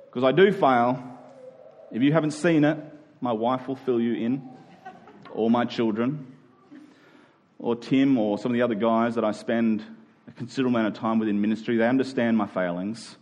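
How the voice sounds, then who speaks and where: A man's voice: medium pitch, steady tone, normal speed, long pauses, a little mellow, a little hiss or hum. A man preaching a conversational expository sermon as a pastor, often posing rhetorical questions and checking in with his listeners. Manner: asks rhetorical questions with check-in tags like "right?" and "okay?".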